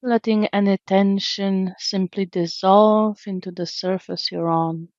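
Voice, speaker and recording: A woman leading a guided meditation in a gentle, soothing, unhurried way with long pauses, in a slightly dull recording. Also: mid-range voice, steady tone, no room sound. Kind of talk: guided meditation, slow calm narration.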